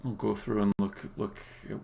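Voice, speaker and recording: Low, very creaky man thinking aloud. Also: level tone. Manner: muttering to himself, halting, trailing off. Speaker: indie game developer streaming. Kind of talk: live-coding stream, thinking aloud. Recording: faint background noise, keyboard clicks. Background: computer keyboard typing and clicking.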